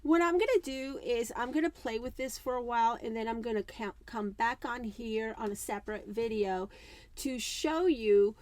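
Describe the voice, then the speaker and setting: A woman crafting vlogger going through an unboxing in a chatty way, reading instructions aloud with many fillers, her voice worn and going hoarse. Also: fairly high pitch, moderate pace, a little mellow, dry recording. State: losing her voice. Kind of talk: casual unboxing walkthrough.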